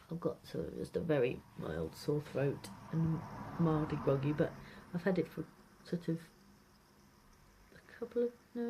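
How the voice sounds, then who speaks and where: A woman's voice: fairly low pitch, warm tone. A British woman chatting casually to camera in a food diary vlog, rambling and hesitant, often trailing off and repeating herself. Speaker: vlogger. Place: at home. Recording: noisy amateur home video.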